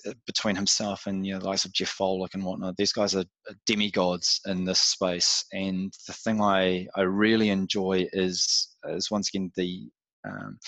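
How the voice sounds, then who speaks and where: A man's voice: low voice, a bit bright, no echo, low-quality recording. A man from New Zealand with a New Zealand accent, talking as a podcast guest in a reflective, casual way with frequent fillers. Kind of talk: casual interview chat.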